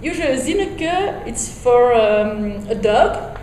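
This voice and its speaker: A middle-aged woman from Belgium, giving an interview in English and often pausing to search for words. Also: fairly high pitch, lively intonation, a little mellow. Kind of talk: casual interview. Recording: echoey room with faint noise.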